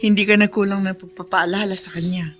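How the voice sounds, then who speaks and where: Actress as caring confidante, Filipino radio drama; mid-pitched, earnest, reassuring, faint music underneath. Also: female voice, fairly even intonation, quick pace, natural voice. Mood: consoling. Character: concerned, consoling woman friend of a troubled mother.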